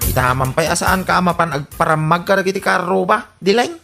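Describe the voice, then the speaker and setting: A woman's voice: fairly low pitch, expressive, fairly quick, gravelly, music in the background. A woman playing a suspicious, exasperated husband in an Ilocano radio comedy drama, speaking with an Ilocano accent and probing insistently. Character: suspicious, exasperated husband questioning his spendthrift wife.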